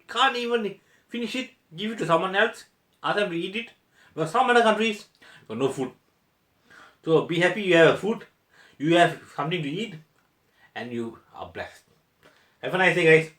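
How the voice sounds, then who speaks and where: A young man, playful and loud, talking straight to his viewers. His voice sits high and swoops all over. It bounces around an echoey room. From Malaysia. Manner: playful and exclamatory, laughs often, talks to viewers. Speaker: food vlogger. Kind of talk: casual taste-test vlog.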